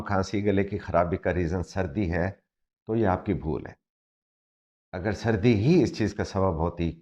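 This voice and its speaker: A man's voice: deep voice, darkish tone. A male doctor with a slightly sore throat gives earnest, explanatory health advice, dropping into English for emphasis. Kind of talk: health advice talk to camera. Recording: muffled lo-fi recording.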